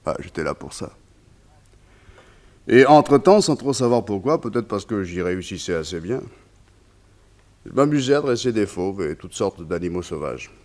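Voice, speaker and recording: A man's voice: on the low side, very animated, normal speed, pauses often, a little mellow. An actor playing a wistful former colonial officer turned circus tamer in a French radio serial, telling his story in a conversational, hesitant way. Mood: nostalgic.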